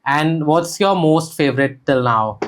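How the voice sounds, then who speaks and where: A man's voice: fairly high pitch, measured pace, slight background noise. A man hosting a casual interview, mostly giving brief affirmations and asking short questions. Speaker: host.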